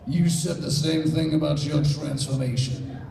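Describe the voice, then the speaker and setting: A man playing a smug, boastful female cartoon creature, with a deliberate delivery. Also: fairly high pitch, creaky, dark tone, far from the mic, a little hiss or hum. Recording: echoey hall, off-mic.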